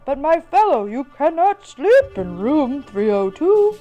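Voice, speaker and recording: A woman's voice: high voice, sing-song, measured pace, warm tone, faint music behind, pitched above the performer's own voice, low-quality recording. A woman voicing a frightened old drunk man at a bar, exclaiming in alarm, in a storybook tale. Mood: alarmed warning.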